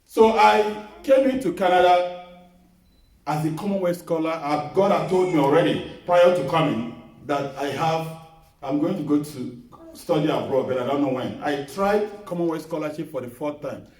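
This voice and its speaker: A middle-aged man preaching a sermon in a small church, earnest and measured, repeating his key phrases as he tells a personal testimony. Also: fairly high pitch, darkish tone. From Nigeria. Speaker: guest preacher. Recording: echoey hall, voice picked up from a distance.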